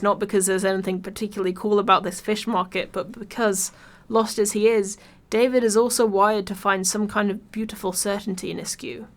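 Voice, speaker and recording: An English woman narrates a scripted video essay at a middling pitch. Her delivery is wry and informal, with casual swearing mixed into considered prose. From Birmingham, England.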